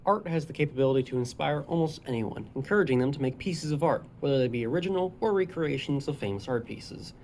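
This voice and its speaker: Fast-reading teen feature narrator. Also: medium pitch, animated, darkish tone, slight background noise. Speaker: student reporter. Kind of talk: scripted news feature narration.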